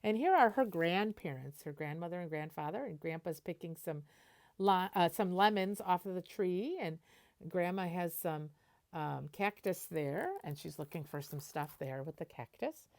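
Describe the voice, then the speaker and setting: A woman reading a children's picture book aloud in an animated way, stopping to explain and translate as she goes. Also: lowish voice, normal speed.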